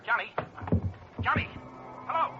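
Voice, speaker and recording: A man acting an impatient, excitable company boss with a telephone voice in a 1950s radio drama. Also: very high-pitched, a little slow, dull audio, faint music behind. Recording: thin, hissy 1950 radio recording.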